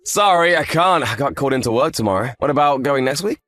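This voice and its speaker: Man acting an earnest, quick-talking young husband. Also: medium pitch, expressive, fairly bright tone, no room sound, soft background music, natural voice. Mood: chatty, frank. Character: earnest, grateful young husband, anxious yet frank. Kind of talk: scripted dialogue for an online story drama.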